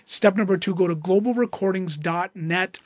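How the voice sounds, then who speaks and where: A man's voice: high-pitched, moderate pace, no room sound, studio quality. A man hosting a podcast, speaking in an upbeat, encouraging way directly to listeners. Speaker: host.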